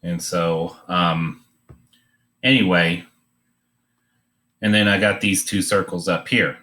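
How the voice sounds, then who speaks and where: A man giving an informal, unscripted drawing demonstration, thinking aloud in a halting way as he teaches. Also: low voice, steady tone, pushed and bright.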